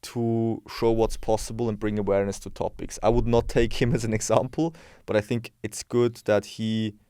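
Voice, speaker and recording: A young man in his twenties talks frankly and bluntly, restarting sentences as he works out his view. His voice sits a little low, and the sound is close and dry, with no room echo. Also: flat delivery, normal speed, warm tone, clear, resonant tone. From Switzerland. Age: 20s. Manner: frank, opinionated, thinks aloud with restarts. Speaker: guest, bodybuilding and health coach. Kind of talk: casual podcast interview.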